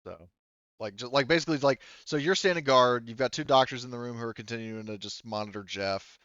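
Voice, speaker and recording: A man's voice: medium pitch, fast, a bit bright, no echo. A man casually moderating a tabletop roleplaying game as game master, on a slightly dull, close mic.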